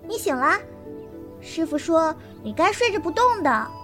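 A woman plays a stubborn young wild girl in a wuxia radio play, with a very high, childlike voice. She is curt and bossy yet protective, over background music. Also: big pitch swings, normal speed, bright, forceful tone, full character voice. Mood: matter-of-fact. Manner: curt, brusque, bossy but caring. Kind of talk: Chinese period wuxia audio drama acting.

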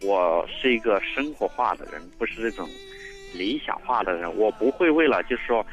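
Halting man calling in, muffled line. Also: lowish voice, lively intonation. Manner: halting, repeats words, earnest. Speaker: caller. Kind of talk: phone-in conversation. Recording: muffled phone line with faint music.

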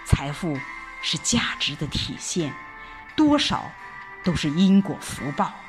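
Female audio drama narrator, reflective and unhurried, highly melodic phrasing, soft music bed. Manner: expressive, reflective recitation with deliberate pauses. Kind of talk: dramatic scripted first-person narration. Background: background music.

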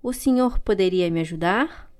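A woman with a Brazilian Portuguese accent reading vocabulary and dialogue lines for a language course, clear and carefully articulated. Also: mid-range voice, normal speed, darkish tone. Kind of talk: slow scripted language-lesson reading.

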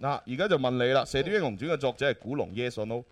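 A man's voice: medium pitch, expressive, racing, soft background music. A man, a radio host bantering casually and running a quiz in a teasing, playful way.

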